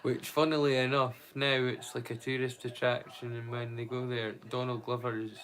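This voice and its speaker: A man's voice: medium pitch, level tone, moderate pace, a bit bright. Casual male streamer, faint noise behind. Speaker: streamer hosting a live watch-along. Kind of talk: casual, rambling live chat.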